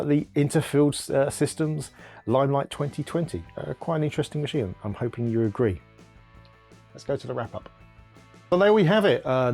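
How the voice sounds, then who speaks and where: A man's voice: mid-range voice, darkish tone. A man hosting his own video channel, explaining things in a casual, earnest way, thinking aloud and restarting his sentences often. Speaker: retro computer video host. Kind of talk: casual explainer talk to camera.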